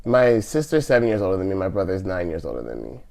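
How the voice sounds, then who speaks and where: A man's voice: low-pitched, very animated, fast talker, vocal fry, a little mellow. A man in his thirties from California, a podcast guest talking casually and hesitantly, with many restarts and trailing phrases. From California, USA. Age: 30s. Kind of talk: casual interview conversation.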